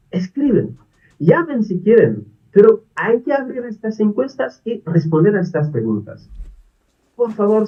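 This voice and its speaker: Non-native priest, earnest and pleading, high lively voice, distant muffled recording. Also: male voice. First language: not Spanish. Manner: earnest pleading, repeated questions. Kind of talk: video appeal to the faithful. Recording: distant dull lo-fi video audio.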